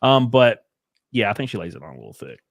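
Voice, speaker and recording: A man's voice: lowish voice, big pitch swings, fast, vocal fry, dry recording, studio quality. A man hosting a casual livestream, crude, joking and teasing. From Southern US. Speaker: streamer host.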